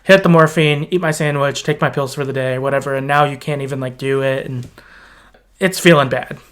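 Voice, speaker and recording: Podcast host, mid-pitched voice, casual chat, loose storytelling, informal phrasing. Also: fairly even intonation, fairly quick, fairly bright tone. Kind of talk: casual chat, retelling a video game's story.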